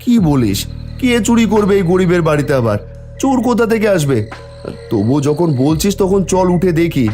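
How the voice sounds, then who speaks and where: A man voicing a poor, doting village father with a plaintive, expressive delivery in a cartoon. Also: high voice, moderate pace, soft background music. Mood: concerned. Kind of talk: cartoon voice acting.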